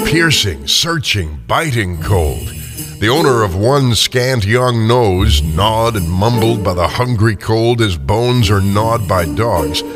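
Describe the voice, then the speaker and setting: A man narrating a classic story in a dramatic, scripted radio theater style. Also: lowish voice. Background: music.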